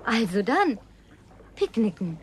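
High-voiced coquettish young-girlish woman. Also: very animated, takes it slowly, slight background noise. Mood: earnest, persuasive. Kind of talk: naturalistic German radio play dialogue.

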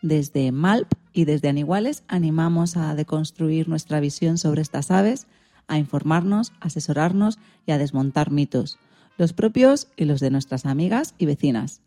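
A woman radio host with a low-pitched, soft-toned voice. She presents semi-scripted segments, with light music under her. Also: animated, normal speed.

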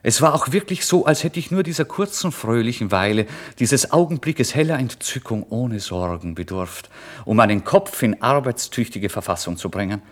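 A man giving a dramatic first-person literary narration in a theatrical manner that moves between hushed and excited. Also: medium pitch, moderate pace. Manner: theatrical, swinging from hushed murmurs to excited outbursts.

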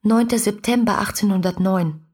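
Woman playing young romantic in a radio play, breathy husky voice, intense, intimate, poetic. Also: mid-range voice, normal speed, a little mellow, lightly acted. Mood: intimate, playful. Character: passionate, romantic young woman writing to her beloved poet. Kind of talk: literary radio play, dramatic monologue.

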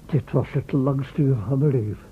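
An actor playing an anxious, exacting professor and inventor in a radio play, speaking formally, impatiently and dramatically. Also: male voice, mid-range voice, normal speed, lightly acted. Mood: tense, impatient. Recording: old, muffled thin radio recording with noise.

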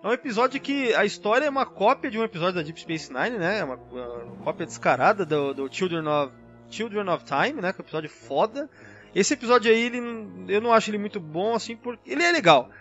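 A man's voice: sits high, expressive, fast talker, fairly bright tone, muffled recording, faint music behind. A man with a Brazilian accent in a casual fan discussion, explaining things in an enthusiastic, rambling way as a podcast panelist.

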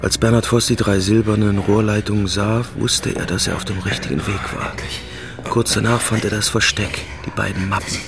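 A man's voice: lowish voice, fairly even intonation, moderate pace, vocal fry. A man reading scripted narration for a crime radio drama.

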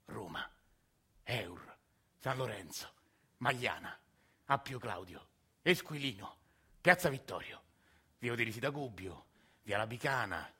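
An actor playing a working-class Roman man of forty, speaking quietly and plainly in a theatre monologue. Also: fairly low pitch, breathy edge, exaggerated voice. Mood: hushed dreamy. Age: 40s. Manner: quiet, hushed, plain storytelling.

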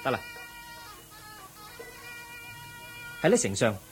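A man's voice: mid-range voice, huge pitch swings, very fast, soft background music. A man playing a thoughtful, compassionate young crown prince in a Cantonese audio drama, earnest and questioning. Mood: curious.

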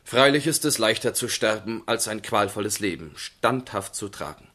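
Forceful, low-voiced gentleman. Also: lively intonation, normal speed. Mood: objecting. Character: sober, reasonable gentleman of the 1770s. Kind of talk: literary radio drama.

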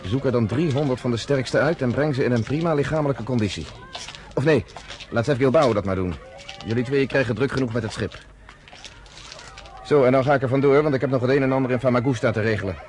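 A man's voice: mid-range voice, quick pace, a little mellow, a little hiss or hum, natural voice. An actor playing a firm, shrewd, commanding male leader in a radio drama. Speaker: underground organizer.

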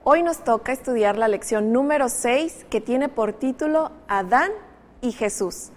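A woman hosts a Bible study program, guiding guests in a friendly way with plenty of fillers. Her voice sits a little high. It carries a long reverb from the room. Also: a little slow. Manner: friendly, leads discussion with fillers. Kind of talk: casual religious study chat.

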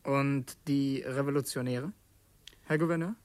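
A man playing a polite young man in a radio drama, restrained in manner and quietly challenging. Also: medium pitch, fairly even intonation, measured pace, dry recording, lightly acted. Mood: polite, probing. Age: young adult. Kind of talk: German radio drama acting.

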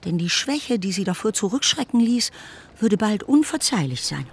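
Radio drama narrator: high voice, literary, measured storyteller, soft music bed. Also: male voice, big pitch swings. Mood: measured, observant. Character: male narrator of a classic romance novel.

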